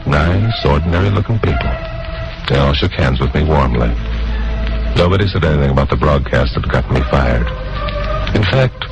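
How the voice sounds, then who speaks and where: A lonesome, guarded newscaster in a hardboiled old radio play. His voice is extremely deep, and his delivery is understated and weary. Music runs clearly behind the vintage recording. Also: dull audio. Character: lonesome disgraced male newscaster secretly working undercover, wry and guarded. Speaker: lead actor and first-person narrator. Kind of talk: old-time radio drama acting, hardboiled narration.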